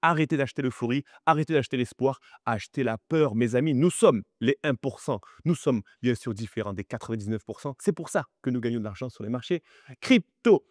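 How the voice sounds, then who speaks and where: A man hosting a crypto market video, chatty and animated, with many fillers and direct appeals to viewers, sounding a little stuffy from the cold. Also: medium pitch, a little fast, no room sound, studio quality. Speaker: crypto channel host. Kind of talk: crypto market analysis vlog.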